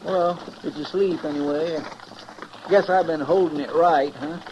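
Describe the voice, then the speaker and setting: An actor playing a fretful, loyal frontier sidekick with a rural American drawl and a naive, chatty manner, in an old-time radio western. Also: male voice, on the high side, steady tone, measured pace, close to an everyday voice. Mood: fretful, homespun. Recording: noisy vintage radio recording.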